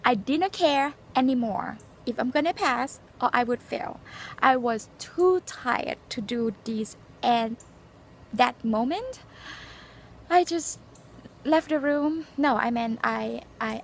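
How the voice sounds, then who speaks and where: Forties Thai woman with a Thai accent, English her second language; high, earnest, halting vlog delivery. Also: gravelly, slight background noise. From Thailand. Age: 40s. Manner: earnest, halting, frequent fillers and restarts. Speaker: nurse sharing exam experience. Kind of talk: casual personal vlog, storytelling and advice.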